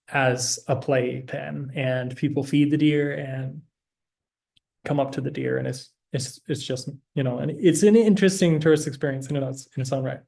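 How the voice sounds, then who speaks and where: A man walks through slides in a relaxed, hesitant way, stopping often for fillers and restarts. His voice has a warm, mellow tone and stays fairly level in pitch. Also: creaky. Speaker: program director presenting. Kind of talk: informational slideshow presentation.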